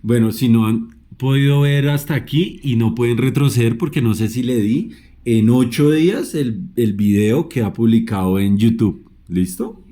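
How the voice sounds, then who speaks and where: A man's voice: medium pitch, moderate pace, warm tone. A man hosting a podcast interview, reacting with short exclamations and greeting viewers. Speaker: podcast host. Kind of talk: casual interview.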